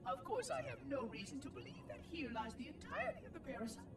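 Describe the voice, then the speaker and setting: A woman's voice: high-pitched, wildly swooping pitch, fast talker, noisy background. A woman playing a lonely, unraveling machine caretaker in a science fiction game, musing to itself in formal, precise phrases. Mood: formal, brooding. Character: lonely, unraveling AI monitor machine guarding an ancient installation. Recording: distant, with music playing behind.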